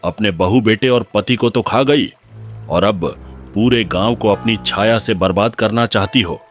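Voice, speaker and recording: A man's voice: lowish voice, unhurried, soft background music, close to an everyday voice. A man playing a harsh, commanding, hostile villager in a cartoon moral story. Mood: angry, accusing. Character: hostile, scornful village man and cruel village chief.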